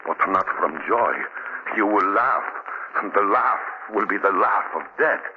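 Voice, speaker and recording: An actor playing a nervous, defensive, scornful chemist in 1940s radio drama, agitated and emphatic. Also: male voice, lowish voice, expressive, a little slow. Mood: defensive, dismissive. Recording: muffled, noisy 1940s radio recording.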